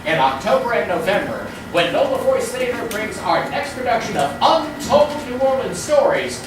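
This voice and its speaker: A woman's voice: on the high side, big pitch swings, moderate pace, noisy background. A woman hosting a live stage show, presenting like a game-show announcer to an audience. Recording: very echoey hall from far off, music behind.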